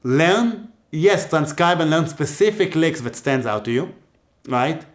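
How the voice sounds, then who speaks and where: A man's voice: on the high side, lively intonation, a little slow. A man with an Israeli accent teaching music, talking casually and joking as he gives advice. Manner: chatty and joking, with many asides. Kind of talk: casual teaching talk to camera.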